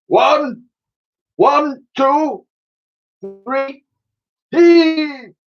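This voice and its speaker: A man's voice: very high-pitched, lively intonation, extremely slow, pitched above the performer's own voice, exaggerated voice. A man voicing a pompous, indignant old navy admiral in a storybook, full of exclamations. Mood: pompous, commanding. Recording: dull, lo-fi sound.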